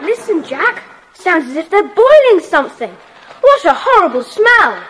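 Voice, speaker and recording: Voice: very high voice, sing-song, measured pace, fairly bright tone, soft background music, heavily acted. A performer playing a brave, kind young schoolboy who leads a secret club in a children's audio drama, earnest and eager. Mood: urgent. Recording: slightly noisy cassette.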